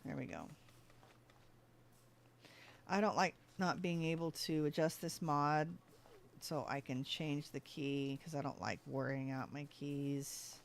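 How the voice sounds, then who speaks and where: A gaming streamer with a mid-pitched voice narrates play in a casual, offhand way. The talk drifts between thinking aloud and addressing animals in the game. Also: fairly even intonation, takes it slowly, vocal fry, pauses often, a little hiss or hum. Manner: talks to game animals and self. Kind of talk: casual gameplay commentary.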